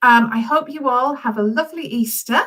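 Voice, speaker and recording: A woman's voice: high voice, normal speed, pushed and bright. A woman reading a children's story expressively, speaking directly and encouragingly to young listeners.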